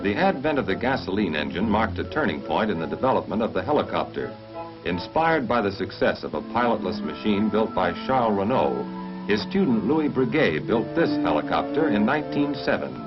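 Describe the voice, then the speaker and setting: An American man narrating a mid-20th-century military training film in a formal, measured, instructional manner, on an old film soundtrack. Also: lowish voice, big pitch swings, highs cut off, music in the background.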